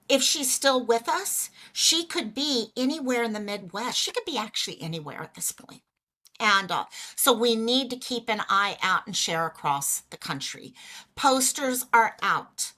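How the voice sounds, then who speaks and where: Bright-voiced woman chatting on a livestream. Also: a little high, animated, measured pace. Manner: chatty, greets viewers by name. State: losing her voice. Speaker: livestream host. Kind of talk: casual livestream chat.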